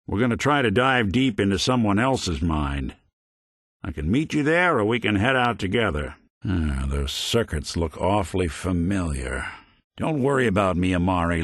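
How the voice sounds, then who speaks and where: A weary old synthetic gumshoe with a low, expressive voice that rises and drops freely. He is wry and loyal, talking in slangy hard-boiled noir fashion. The game recording is dry and close, with no room around it. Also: measured pace, dry recording, exaggerated voice. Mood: grim, explanatory, then urgent, raised. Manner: wry, world-weary, slangy detective talk.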